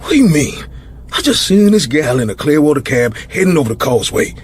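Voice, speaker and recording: A nervy American crook reports in like a lowly lookout. His pitch jumps about in a defensive, radio thriller performance. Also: very animated, a little fast, breathy edge. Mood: urgent. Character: jittery, defensive American gangland lookout on the phone. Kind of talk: radio drama acting.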